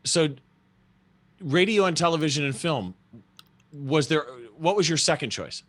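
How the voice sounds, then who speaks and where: A man's voice: a little high, lively intonation, measured pace, a bit bright. A man co-hosting a live stream over a video call, asking curious follow-up questions. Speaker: co-host. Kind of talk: casual live-stream chat.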